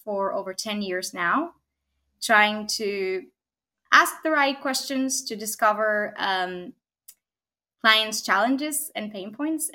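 Bright-voiced Argentine-born woman. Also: medium pitch. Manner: thoughtful, many fillers and restarts, frequent "right?". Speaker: guest, technology consultant. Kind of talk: podcast interview.

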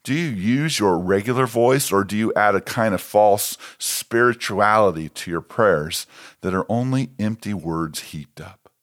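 A man's voice: lowish voice, measured pace, fairly bright tone. An American man, a pastor, reading a scripted devotional.

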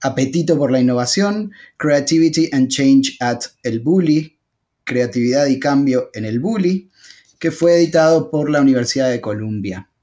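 A man hosting a podcast interview in a relaxed way, with occasional hesitations. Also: medium pitch, normal speed. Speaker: podcast host and sociologist. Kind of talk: conversational interview.

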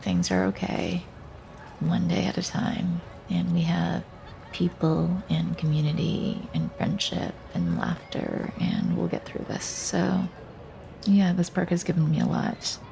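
A woman, from Taiwan and western Canada, giving a reflective personal narrative in a thoughtful, halting way, searching for words. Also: fairly low pitch, animated, vocal fry, warm tone, a little hiss or hum, low-quality recording.

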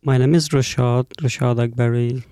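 A young man, a guest in an interview, speaking haltingly and often restarting as he searches for words. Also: medium pitch, steady tone, dark tone, smooth, dry recording. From Afghanistan. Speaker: guest, community advisor. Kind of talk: conversational interview.

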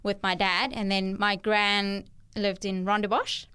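A young woman from South Africa with a South African accent, a guest telling her story in an interview with thoughtful pauses. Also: mid-range voice, flat delivery, pushed and bright, smooth. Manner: thoughtful, hesitant with fillers. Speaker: guest, ministry worker. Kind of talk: conversational interview.

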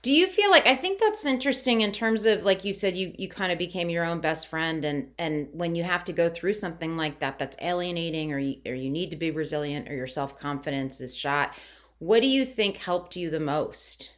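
Woman podcast host, lowish pitch, encouraging and casual, short backchannels. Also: lively intonation, fairly quick, a bit bright. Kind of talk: casual interview.

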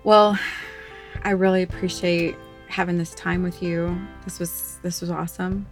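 A young woman hosting a podcast, gently interviewing her partner in casual conversation. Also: fairly low pitch, level tone, a little slow, soft background music. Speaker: podcast host.

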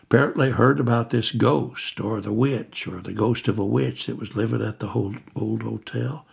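A man voicing a loud, boisterous male hiking buddy who talks at full phone volume, with a gravelly, husky voice. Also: steady tone, normal speed, exaggerated voice. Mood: confiding. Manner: booming, boisterous.

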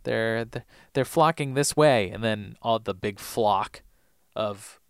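Man with a clear, dry podcast voice. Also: on the low side, takes it slowly, dry recording. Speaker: podcast co-host. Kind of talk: casual chat, recapping TV episodes.

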